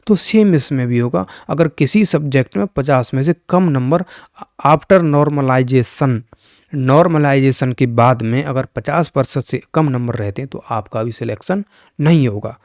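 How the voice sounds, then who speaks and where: Man with a creaky voice. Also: medium pitch, measured pace, darkish tone, no room sound, studio quality. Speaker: exam coaching YouTuber. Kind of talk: informal exam news explainer.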